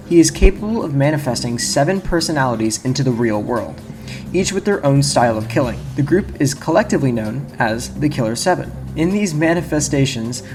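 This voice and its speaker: A young man with a slightly low voice gives casual video essay commentary over prominent music. He talks informally and rambles, often cutting into his own sentences. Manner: informal, rambling, self-interrupting. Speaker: video game YouTuber. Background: prominent background music.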